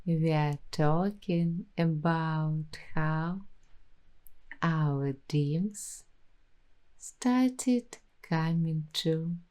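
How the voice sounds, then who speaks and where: Slow, soft-spoken dreamy woman. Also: low-pitched. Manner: slow, dreamy, intimate, addressing the listener directly. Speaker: ASMR roleplay host. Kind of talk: soft-spoken ASMR roleplay.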